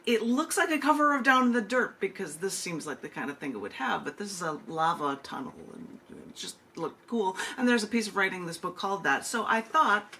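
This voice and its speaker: A woman's voice: medium pitch, lively intonation, brisk, few pauses, sharp tone. A woman hosting an open mic and poetry reading over a video call, chatting casually with rambling asides. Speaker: open mic host and poet. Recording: noisy video call audio.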